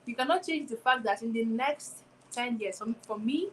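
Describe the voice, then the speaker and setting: A young woman in her twenties with a slightly high voice talks through a reflective motivational monologue, circling back to the same questions again and again. A faint hum of room noise sits underneath her. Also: moderate pace. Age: 20s. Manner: reflective, poses repeated rhetorical questions. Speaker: podcast host. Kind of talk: solo motivational talk.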